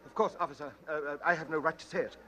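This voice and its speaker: Nervous, tense gentleman. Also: on the high side, fairly even intonation, rapid-fire. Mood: urgent suspicion. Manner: hesitant, stammering, polite. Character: nervous, suspicious, genteel bachelor amateur sleuth. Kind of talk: old-time radio drama acting. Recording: faintly noisy old radio recording.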